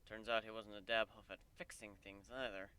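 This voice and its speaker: Man playing a wry, clear-voiced winged pony stallion. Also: fairly low pitch, level tone, moderate pace, bright, forceful tone. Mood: wry, resigned. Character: wry, gentle male winged pony, easygoing.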